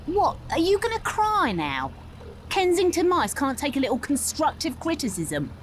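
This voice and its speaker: An actress playing a tough, sarcastic young rat boat captain with a British accent, brash and no-nonsense, in a video game. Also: female voice, high voice, wildly swooping pitch, normal speed, slight background noise. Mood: scolding.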